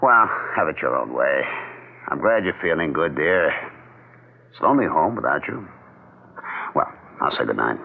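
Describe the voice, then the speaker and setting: An actor playing a skeptical, irritable husband in a 1970s radio drama, with a dismissive, blunt manner that can turn smoothly polite. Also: male voice, rumbling low, sing-song, moderate pace, long pauses, full character voice. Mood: smooth, subdued. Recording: muffled, narrow-band 1970s radio recording.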